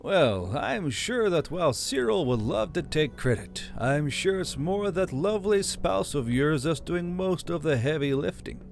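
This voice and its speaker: A man voicing a kindly, chatty older male elf governor with a cheerful, gently teasing manner. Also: a little high, expressive, a little slow, vocal fry, hardly any pauses, voice pushed up. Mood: warm teasing.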